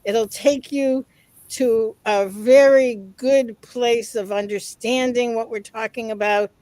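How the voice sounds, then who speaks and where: A woman with a somewhat high voice hosts a casual online panel. She is enthusiastic as she reads viewer comments aloud. Her voice sounds dry and close, with no room sound. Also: fairly even intonation, takes it slowly, mellow, no echo. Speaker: webinar co-host.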